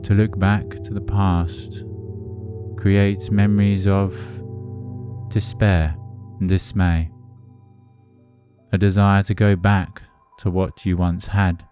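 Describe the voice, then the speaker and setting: A man narrating a hypnotic guided meditation in a soothing, deliberate manner with measured phrasing. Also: sits low, flat delivery. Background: music under the voice.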